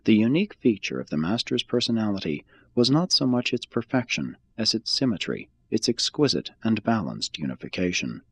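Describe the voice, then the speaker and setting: A man reading a religious text aloud as an audiobook, in a measured, solemn and formal manner. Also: on the low side, big pitch swings, a bit bright, husky. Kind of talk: scripted read-aloud, audiobook narration.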